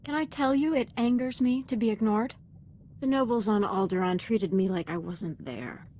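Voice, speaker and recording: A woman's voice: on the high side, lively intonation, moderate pace, a little mellow, slight background noise, lightly acted. A young woman acting as a devoted, fervent Sith apprentice in a video game, speaking in an earnest, deferential and articulate way. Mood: bitter, intense.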